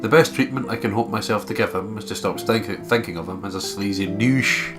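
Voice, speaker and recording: A man's voice: lowish voice, fairly quick, music in the background. A man with a blocked nose co-hosting a let's play, chatting and reading aloud in a dry, sweary way. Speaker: co-host of a gaming let's play. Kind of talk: casual chat and reading aloud while playing.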